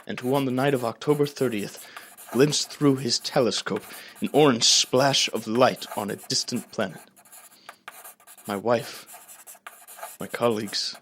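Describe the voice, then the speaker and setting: Young guy acting haunted professor reading his survivor journal, solemn brooding radio drama, mid pitch, bit of noise. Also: fairly bright tone. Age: young adult. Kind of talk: theatrical radio drama monologue.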